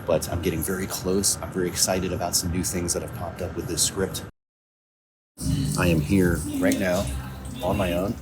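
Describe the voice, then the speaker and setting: A man speaking casually in a self-recorded video diary on location, thinking aloud in a halting way with repeated words. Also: sits low, flat delivery, music in the background. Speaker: audio drama writer and producer. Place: coffee shops and outdoors, on location. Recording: noisy on-location recording with ambient sound.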